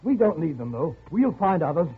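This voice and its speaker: An actor playing a blustering expedition leader with clipped, emphatic speech and brittle confidence in a radio drama. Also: male voice, fairly high pitch, sing-song, normal speed, breathy edge, soft background music, full character voice. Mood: forced confidence. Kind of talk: 1940s radio drama acting. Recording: tinny, noisy old radio broadcast.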